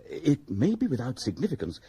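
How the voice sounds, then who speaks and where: An actor playing an observant, formal and precise witness in a radio drama. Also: male voice, medium pitch, huge pitch swings, fairly quick, gravelly, mellow, breathy edge, no room sound, exaggerated voice. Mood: confiding, precise. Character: observant, precise male hotel or restaurant witness. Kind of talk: BBC radio drama acting.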